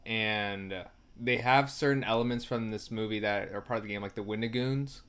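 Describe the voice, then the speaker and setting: Bright-voiced man chatting on a podcast. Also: lowish voice, moderate pace, clear, resonant tone. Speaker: podcast co-host. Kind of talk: casual film review chat.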